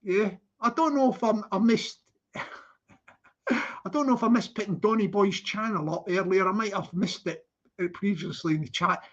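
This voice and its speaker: A man's voice: high-pitched, normal speed, fairly bright tone, dull audio. A man with a Scottish accent hosting a casual livestream, greeting viewers by name in a sing-song way and chatting loosely with frequent laughs. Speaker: livestream host. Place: living in London.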